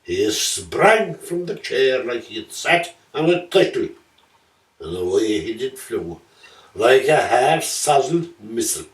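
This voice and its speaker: A man's voice: medium pitch, very animated, slow pace. A man giving a theatrical, lilting storybook reading of rhyming comic verse. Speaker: poetry reader. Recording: roomy echo, distant from mic.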